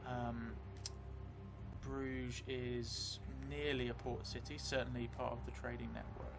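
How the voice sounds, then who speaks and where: A middle-aged man improvising narration for a solo role-playing game, thinking aloud in halting, broken phrases. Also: medium pitch, flat delivery. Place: small indoor studio room at a table, music behind. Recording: music playing behind the voice.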